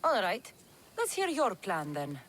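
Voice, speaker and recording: A woman's voice: mid-range voice, a little slow, a little hiss or hum. An actress playing a dry, sardonic female mercenary in a video game. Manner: dry, wry, deadpan remarks. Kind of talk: video game cutscene acting.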